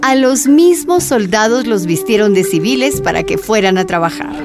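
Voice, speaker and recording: A woman's voice: mid-range voice, animated, normal speed, music in the background, lightly acted. A woman narrating a scripted radio drama as an earnest storyteller.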